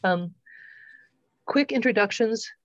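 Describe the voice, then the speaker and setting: A woman hosting an online short course on a video call, welcoming people and going over house rules with many pauses and ums. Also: fairly high pitch, dry recording, muffled recording. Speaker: course host.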